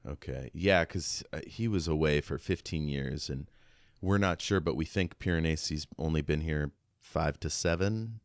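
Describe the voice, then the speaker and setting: A man's voice: rumbling low, normal speed, dry recording, clean studio sound. A man co-hosting a podcast, chatting casually about a book. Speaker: podcast co-host. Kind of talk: casual book discussion chat.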